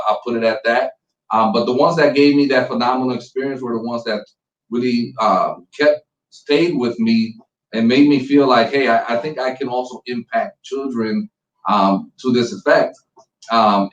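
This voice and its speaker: A man's voice: mid-range voice, roomy sound, distant mic, poor audio. A man in his forties from Puerto Rico, a native Spanish speaker, working as a school principal and talking earnestly about his background in an interview, with many ums and restarts. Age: 40s. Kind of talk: conversational interview.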